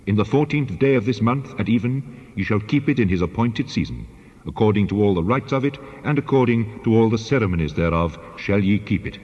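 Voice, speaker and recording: A man performs a solemn male deity in a dramatized scripture recording, issuing commands in a measured, declamatory way. The voice sits low and rings in a long hall reverb. The sound is somewhat dull and lo-fi. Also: expressive, a little mellow. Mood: solemn, commanding. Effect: heavy reverb on the voice.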